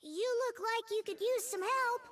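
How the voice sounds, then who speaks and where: A woman's voice: very high-pitched, normal speed, a crowd in the background. A woman voicing a small, cheerful, innocent furry scout in a video game, earnest, polite and childlike. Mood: helpful, cheery. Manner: earnest, chirpy, polite, eager. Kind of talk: video game character voice lines. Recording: music bed under the voice. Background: music.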